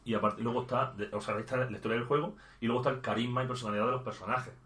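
A man's voice: medium pitch, steady tone, racing, fairly bright tone, roomy sound. A man chatting casually about video games as a podcast co-host, with laughing asides and stammered restarts. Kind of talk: casual gaming chat.

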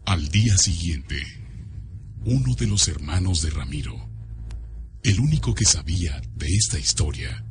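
A man with a Mexican accent narrating a horror radio drama in a solemn, dramatic, deliberate way. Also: low voice, lively intonation, slight background noise. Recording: loud music under the voice.

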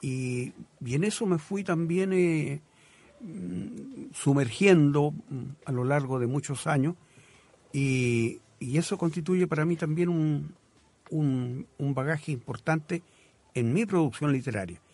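Chilean man, halting and reflective, dry sound. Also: fairly high pitch, darkish tone, no echo. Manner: halting, searching for words, literary phrasing. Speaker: guest writer on a literary programme. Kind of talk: live radio interview, reflective conversation.

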